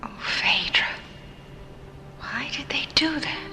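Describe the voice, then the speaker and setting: Vulnerable space traveler, old radio drama, soft emotional voice, earnest, music behind. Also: female voice, sits high, animated, slow, whispered. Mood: vulnerable, moved. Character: earnest, vulnerable young woman, newly blinded space traveler. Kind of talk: old-time radio science fiction acting.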